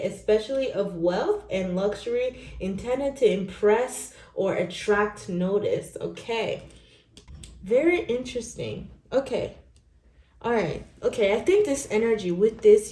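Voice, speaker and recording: A woman from Nigeria, speaking English as a second language, giving a casual tarot reading to viewers in a chatty way, often tagging her sentences with "okay?" and "right?". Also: medium pitch. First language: not English. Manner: chatty, animated, many "okay?" and "right?" tags. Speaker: tarot reader. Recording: roomy echo.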